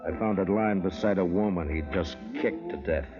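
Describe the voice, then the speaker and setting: An actor playing a grim, laconic frontier marshal in an old-time radio western, terse and authoritative. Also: male voice, deep voice, moderate pace, lightly acted. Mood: stern. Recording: muffled old radio recording with loud music.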